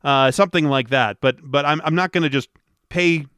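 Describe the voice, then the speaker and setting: A man's voice: a little high, moderate pace, a bit bright, smooth, no echo, clean studio sound. A man hosting a podcast, chatting casually and rambling with many restarts. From Detroit, USA. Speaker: podcast host. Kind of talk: casual interview chat.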